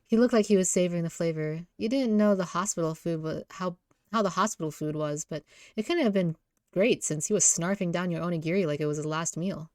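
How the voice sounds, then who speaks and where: Woman doing a visual novel readthrough, lowish voice, dry mic, casual n playful. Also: brisk, a little mellow, no echo. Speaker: co-host and reader of visual novel. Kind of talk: story read-aloud with casual chat.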